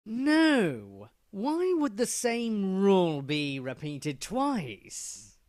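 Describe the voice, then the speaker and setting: A man voicing a patient but superior cartoon prisoner, measured and exasperated as he explains things in comedy dialogue. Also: high voice, wildly swooping pitch, darkish tone, dry recording, studio quality, heavily acted. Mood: didactic, exasperated.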